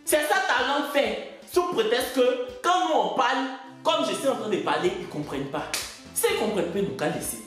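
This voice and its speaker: A man's voice: very high-pitched, expressive, normal speed, fairly bright tone. A man from West Africa, delivering a stand-up comedy monologue in an indignant, mocking way and drawing out his punchlines. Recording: very echoey room, faint music.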